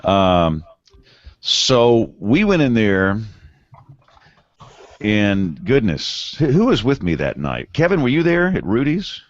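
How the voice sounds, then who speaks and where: Relaxed low-voiced male podcast host. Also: slow pace, pauses often, no room sound, lo-fi sound. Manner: relaxed, laughing, loose banter. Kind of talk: casual chat and show opening. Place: broadcast studio.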